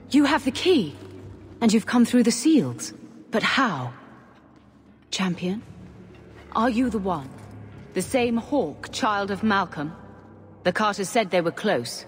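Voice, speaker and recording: Zealous warden commander, echoing hall. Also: female voice, medium pitch, very animated, slow pace, long pauses. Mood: startled, urgent. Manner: formal, assured, persuasive. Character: zealous, commanding Grey Warden mage woman leader. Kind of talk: fantasy game voice acting. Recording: long hall reverb, faint ambient noise.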